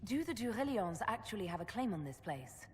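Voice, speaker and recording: An actress playing a calm, courteous and inquisitive woman adventurer in a video game. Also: medium pitch, fairly quick, natural voice. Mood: curious, polite. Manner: polite, questioning. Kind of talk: video game dialogue acting. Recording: long hall reverb, faint noise.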